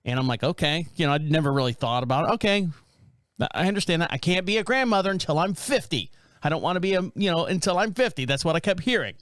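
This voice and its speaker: A man's voice: fairly high pitch, animated, quick pace, fairly bright tone, no room sound, studio quality. A man in his forties co-hosting a casual chat, telling stories animatedly with frequent laughs. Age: 40s. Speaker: podcast co-host.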